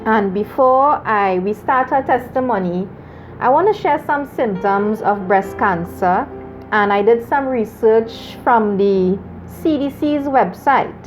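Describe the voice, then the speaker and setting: A woman hosting a talk show, earnest and measured, laughing often with her guest. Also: mid-range voice, darkish tone, rough recording. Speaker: host.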